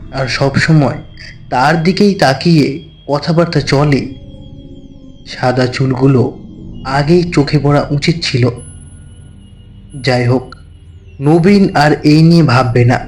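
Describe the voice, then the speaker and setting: A man narrating a scripted horror story dramatically, with deliberate, suspenseful pauses. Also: a little high, a little mellow.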